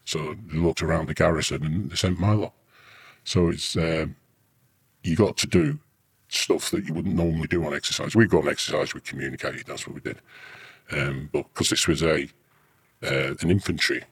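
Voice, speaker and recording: Very deep, husky British man. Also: fairly even intonation, moderate pace. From United Kingdom. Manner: earthy, rambling, sweary. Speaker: army veteran being interviewed. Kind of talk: casual anecdotal storytelling.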